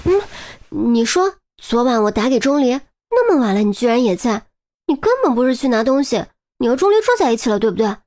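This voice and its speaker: A woman voicing a brisk young policewoman in an audiobook, pressing with questions and scolding protectively. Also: on the high side, sing-song, a little mellow. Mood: accusing, probing. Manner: pressing, rapid-fire questioning. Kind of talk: audiobook character dialogue.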